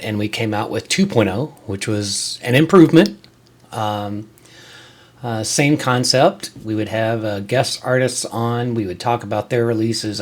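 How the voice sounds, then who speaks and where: Man, lowish voice, show host, casual vlog delivery, conversational, halting with frequent pauses. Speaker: host of a creator video show, musician. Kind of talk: casual scripted-and-ad-libbed vlog to viewers.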